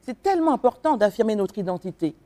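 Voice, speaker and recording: Earnest Congolese woman, lively voice. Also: mid-range voice, fast talker. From Republic of the Congo. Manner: earnest, emphatic, hesitant with many fillers. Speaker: author, guest. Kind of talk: radio interview.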